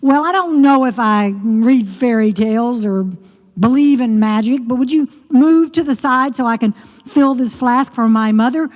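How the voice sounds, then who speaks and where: A woman's voice: fairly high pitch, normal speed, darkish tone, in a large hall, voice pushed up, lightly acted. Haughty, impatient young princess, played by a woman storyteller. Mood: indignant. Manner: impatient, dismissive.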